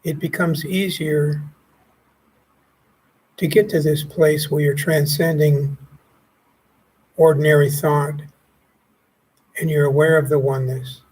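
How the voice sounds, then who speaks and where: A man leading a guided meditation as a teacher, speaking calmly and gently in short phrases with pauses. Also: fairly high pitch, slightly rough, poor audio. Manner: calm, gently instructive, pausing between short phrases.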